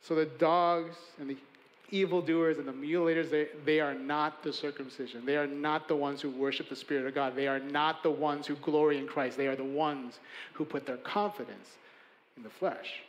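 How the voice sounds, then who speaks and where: Earnest preaching man with a high voice. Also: steady tone, moderate pace, long reverb tail, muffled recording. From Chicago, USA. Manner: earnest, emphatic preaching with building lists and hushed endings. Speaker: pastor. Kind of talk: sermon.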